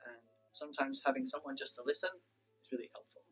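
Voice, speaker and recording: A man's voice: mid-range voice, flat delivery, fast, fairly bright tone, breathy edge, faint music behind, low-quality recording. A man in his thirties from the UK, a teacher answering pupils' questions in a cheerful, easygoing way. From United Kingdom. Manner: cheerful, easygoing, lots of ums. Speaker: teacher being interviewed. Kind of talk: casual interview answers.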